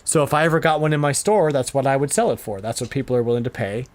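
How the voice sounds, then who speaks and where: A man's voice: mid-range voice, quick pace. A middle-aged man chatting casually as the host of a podcast. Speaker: podcast host and retro game store owner.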